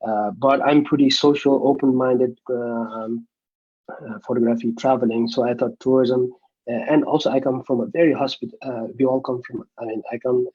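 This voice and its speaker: A young man from Nepal, talking as an interview guest in a halting way, full of fillers and restarts. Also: mid-range voice, fairly even intonation, husky, highs cut off. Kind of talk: casual interview over a video call.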